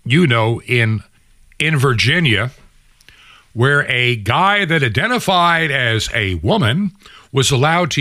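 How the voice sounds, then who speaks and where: A man's voice: fairly high pitch, a bit bright, dry recording, clean studio sound. A man hosting a radio program, delivering opinionated monologue commentary in an indignant, preachy manner with rhetorical questions and emphatic repetition.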